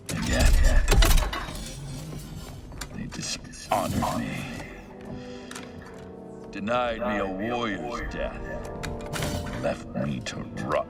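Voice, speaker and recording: A male actor playing a proud, bitter, centuries-old alien warrior villain in a video game, speaking with slow, solemn menace. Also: very deep voice, creaky, heavily acted. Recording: distant game audio under loud music.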